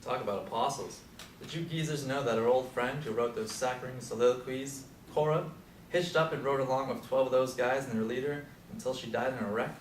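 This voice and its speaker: A man's voice: mid-range voice, a little fast, close to an everyday voice. Distant, echoey, wry aging Beatnik played by a man. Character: aging male Beat poet, wry, opinionated hipster. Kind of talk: stage play scene reading. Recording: echoey room from far off, faint noise.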